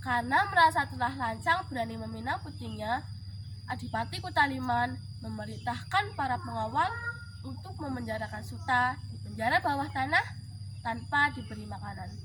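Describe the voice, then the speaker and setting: High-pitched female folk-tale storyteller. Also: moderate pace. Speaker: storyteller in a school storytelling contest. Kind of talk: expressive scripted folk-tale narration. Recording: clearly noisy background.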